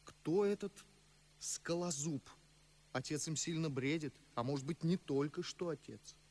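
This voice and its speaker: A man's voice: a little high, measured pace, a little mellow. An actor playing a young, ardent nobleman, muttering suspicious, brooding asides to himself. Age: young adult. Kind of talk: classic radio play acting.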